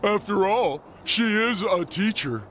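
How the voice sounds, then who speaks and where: Slow, goofy cartoon starfish. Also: male voice, high voice, lively intonation. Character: dim-witted, easygoing adult male starfish sidekick. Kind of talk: cartoon game voice acting.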